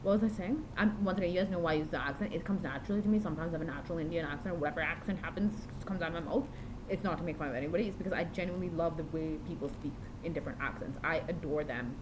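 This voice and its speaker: Low-voiced rambling woman vlogging. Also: rapid-fire, background noise. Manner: meandering, frequent restarts and self-corrections. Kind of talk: rambling diary monologue.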